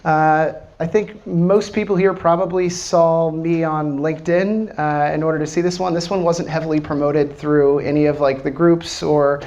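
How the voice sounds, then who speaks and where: A man's voice: on the high side, fairly even intonation, normal speed, hardly any pauses, a little mellow, lo-fi sound. An American man from Washington, D.C., a startup founder giving a casual live presentation to a small audience, talking loosely with lots of fillers and casual swearing. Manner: loose and chatty, many fillers, swears freely.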